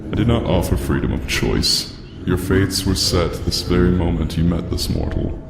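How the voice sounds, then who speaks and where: Cavernous, gravelly demon god. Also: male voice, sits low, steady tone. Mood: stern menace. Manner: grandiose, slow, menacing. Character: imperious, soul-hungry male demon deity lord. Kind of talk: fantasy audio drama acting. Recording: cavernous echo, long reverb. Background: loud music.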